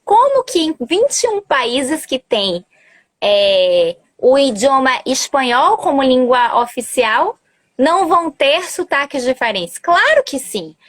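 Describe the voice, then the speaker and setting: A talkative woman teacher explains things in a loose, chatty way, often stopping to correct herself. Her voice sits a little higher than most women's. It comes through a video call on a live stream. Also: sing-song, slow pace. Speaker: language teacher. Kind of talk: casual live-stream chat.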